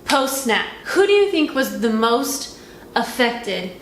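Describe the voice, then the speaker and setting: A woman hosting a casual film review, chatting and retelling in an animated, conversational way. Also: a little high, slow. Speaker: host of a movie review. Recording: roomy echo with faint background noise.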